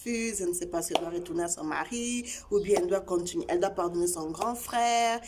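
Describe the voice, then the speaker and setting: Halting woman host. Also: fairly low pitch, noisy background. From Congo (DRC). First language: Tshiluba. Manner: halting, many repeated words and fillers. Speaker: channel host. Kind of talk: casual chat to viewers.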